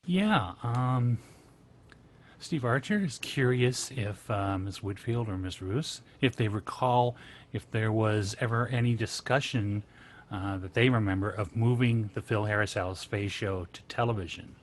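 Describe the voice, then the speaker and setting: A man relaying audience questions to a panel, pausing often as he goes. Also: lowish voice. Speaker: co-host reading audience questions.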